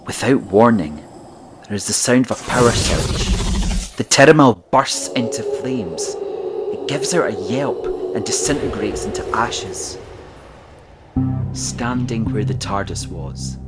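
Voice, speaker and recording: A man narrates an audio drama in scripted style. His voice sits low and moves slowly, with music playing behind it. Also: lively intonation.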